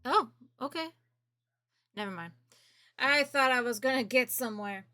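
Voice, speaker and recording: A woman's voice: high-pitched, animated, moderate pace, bright, forceful tone. A woman giving casual, blunt gaming commentary as a streamer, with informal, sweary phrasing. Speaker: game streamer reacting to and reviewing a demo.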